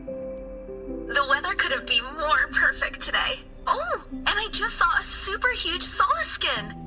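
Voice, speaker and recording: An actress playing a bright, eager young female student in a video game, sweet and excitable. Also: high-pitched, measured pace, music in the background. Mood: cheerful.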